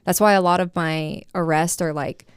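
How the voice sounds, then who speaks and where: Podcast guest, woman in her 30s, candid and rambling, low-pitched with a smooth, dry sound. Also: steady tone, normal speed, no room sound. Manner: frank, rambling, heavy use of filler "like". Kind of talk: candid personal storytelling.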